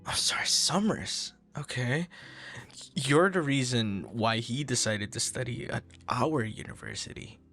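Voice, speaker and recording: A man acts out an earnest, friendly young man in an intimate audio roleplay. His pitch moves in a very lively way and often frays into fry. He speaks conversationally, hesitating and repeating himself as if easily flustered, with faint music behind him. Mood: surprised, curious. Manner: hesitant, halting, soft-spoken asides, repeats words. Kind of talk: ASMR boyfriend roleplay, conversational.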